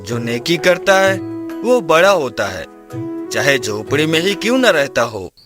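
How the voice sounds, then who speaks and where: A man's voice: on the high side, very animated, a little slow, over music. A man voicing a humble, honest and brave village father in a cartoon tale, earnest and reassuring.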